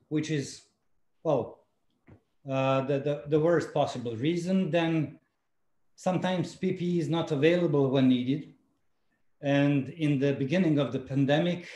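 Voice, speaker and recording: A middle-aged man on a video call in a home study, speaking in a measured, hesitant way. His voice is a little high and mellow, and the room sounds echoey. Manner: measured, hesitant, many fillers. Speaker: surgeon panelist. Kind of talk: panel discussion over video call. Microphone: video call, computer mic.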